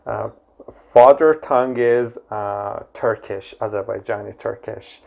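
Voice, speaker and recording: Gravelly-voiced man reacting with enthusiasm. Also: on the low side, slow pace, darkish tone, slight background noise. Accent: Persian. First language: Persian. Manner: enthusiastic, gushing, many fillers. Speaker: reaction video host. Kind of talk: casual reaction commentary.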